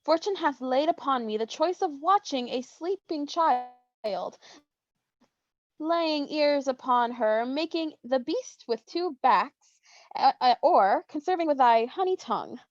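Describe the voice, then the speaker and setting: A woman's voice: high voice, expressive, a little slow, no echo. A woman playing a brash, bawdy teenage girl in a mock-Shakespearean staged reading, with playful, theatrical archaic speech. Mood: cheeky. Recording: dull, dry lo-fi sound.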